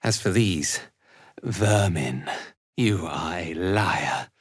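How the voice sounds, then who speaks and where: An actor playing an arrogant, cruel and fanatical cult commander in a dark fantasy video game, with a haughty, contemptuous, theatrical delivery. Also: male voice, deep voice, expressive, extremely slow, breathy edge, no room sound, clean studio sound, exaggerated voice. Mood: cold contempt.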